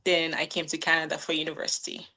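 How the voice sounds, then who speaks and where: Woman in her 30s from nigeria, bit low voice, easygoing, lots of ums and likes. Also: little pitch movement, quick pace, gravelly, sharp tone. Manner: friendly, laughs often, many "um"s and "like"s. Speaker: guest, new business owner. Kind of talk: casual interview answers.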